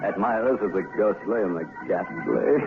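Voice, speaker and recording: A man's voice: fairly low pitch, moderate pace, close to an everyday voice. A man playing a gleefully menacing horror show host, introducing tales with hammy, punning relish. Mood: ghoulish welcome. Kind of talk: theatrical horror anthology introduction. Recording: tinny narrow-band radio, loud music behind.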